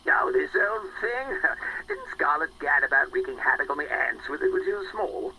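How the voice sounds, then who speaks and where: Bright, excitable posh English gent. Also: male voice, a little high, normal speed, gravelly, slight background noise, exaggerated voice, rough recording. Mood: jovial, bumbling. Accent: upper-class English. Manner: plummy, effusive, excitable. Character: jovial, bumbling upper-class British gentleman guest. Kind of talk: period audio drama acting.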